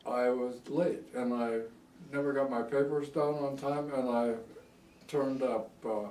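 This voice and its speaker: A man's voice: medium pitch, fairly even intonation, unhurried, a little mellow. Elderly American man, echoey distant recording. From United States. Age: 80s. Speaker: oral history interviewee. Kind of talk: reminiscing in a casual interview. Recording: echoey room, distant microphone.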